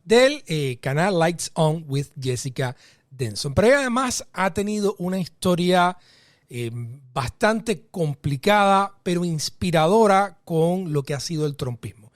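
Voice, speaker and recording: A man's voice: high-pitched, lively intonation, no room sound. Courteous male interview host, dry sound. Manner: courteous, measured introductions with hesitations. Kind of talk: formal interview.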